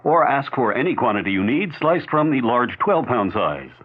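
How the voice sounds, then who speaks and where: Male commercial announcer, 1970s radio, animated delivery, rough-edged husky tone, narrow phone-like band. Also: medium pitch, normal speed. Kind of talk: scripted 1970s radio ad read. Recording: phone-like old radio broadcast.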